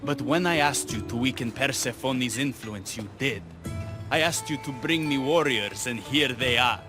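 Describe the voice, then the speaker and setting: An actor playing a wry, lovelorn young rebel in a video game, speaking in a flowery, sardonic way. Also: male voice, medium pitch, normal speed, music in the background, natural voice. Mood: wary. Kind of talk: video game cutscene acting.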